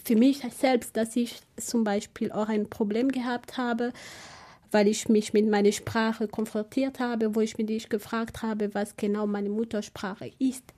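Higher-voiced woman. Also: little pitch movement, measured pace, dark tone. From Gabon. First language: French. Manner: hesitant, searching for words with many fillers. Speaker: panel guest, language researcher. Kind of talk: panel discussion.

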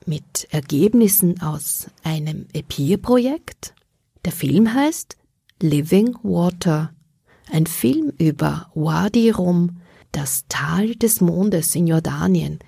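Radio host, female, slow deliberate delivery, dark voice, dry studio sound. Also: fairly low pitch, vocal fry, breathy edge, no echo. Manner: measured, formal announcing. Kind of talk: radio moderation. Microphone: studio microphone.

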